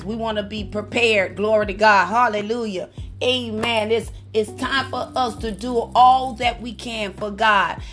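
A woman minister preaching fervently on a prayer call, with a slightly raspy voice and frequent bursts of praise. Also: mid-range voice, fairly bright tone. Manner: fervent, repeats praise phrases.

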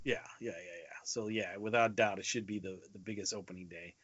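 A man's voice: fairly low pitch, fairly even intonation, brisk, a bit bright. A man co-hosting a casual movie podcast, speaking emphatically and repeating himself to drive points home. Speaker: podcast co-host. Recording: dull lo-fi podcast audio.

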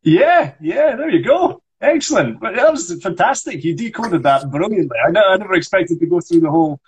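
A man's voice: high voice, sing-song, brisk, husky. A young Scottish man on a live stream, encouraging and explaining pronunciation step by step. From Scotland. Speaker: Scottish actor teaching accent. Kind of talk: casual live-stream chat. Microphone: phone or webcam on a live stream.

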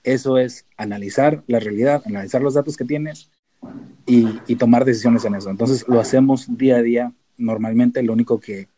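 A man's voice: mid-range voice, fairly quick, warm tone, a little hiss or hum, poor audio. A man from Tijuana with a northern Mexican accent, talking casually as an interview guest and explaining things informally, with some English terms mixed in. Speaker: podcast guest, data analyst and researcher.

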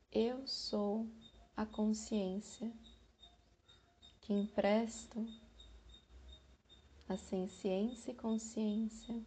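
A Brazilian woman guiding a meditation in a soothing, unhurried manner, with long pauses between short phrases. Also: medium pitch, mellow, slight background noise. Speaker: meditation guide. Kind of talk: slow guided meditation.

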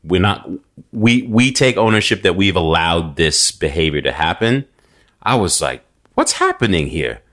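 A man with a very deep, low voice talks casually and animatedly on a podcast. He grew up in New York, and he tells stories with plenty of restarts. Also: normal speed. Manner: animated storytelling, frequent restarts and fillers. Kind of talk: casual conversation.

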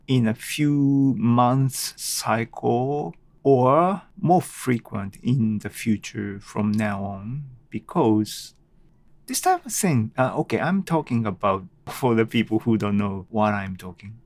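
A Japanese man with a Japanese accent hosting his own solo podcast, thinking aloud unscripted with many pauses and fillers, recorded at home on a desk microphone. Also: fairly low pitch, gravelly, no echo. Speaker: podcast host. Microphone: desk microphone at a computer.

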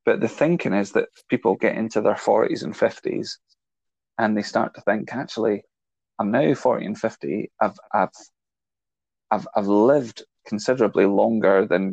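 A man's voice: lowish voice, normal speed, creaky, a little mellow, slightly rough, no echo, highs cut off. A man in his forties co-hosting a casual film chat, explaining his ideas at length and teasing his younger co-host. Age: 40s. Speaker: podcast co-host.

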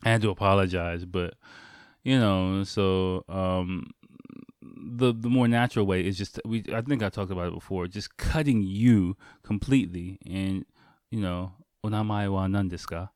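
A man's voice: deep voice, steady tone, moderate pace, darkish tone, dry recording, clean studio sound. A man hosting a podcast solo, talking in an informal, conversational way with casual swearing. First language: English. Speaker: podcast host.

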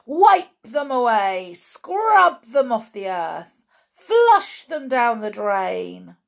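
A woman's voice: high-pitched, big pitch swings, very slow, fairly bright tone, pitched above the performer's own voice, full character voice. A woman voicing a frenzied crowd of female witches who squeal and cheer eagerly.

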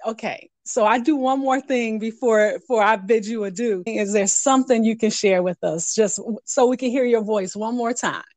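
A woman hosting a storytelling podcast, speaking in a deliberate, expressive way. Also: fairly high pitch. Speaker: podcast host. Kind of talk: hosting and poetic sign-off.

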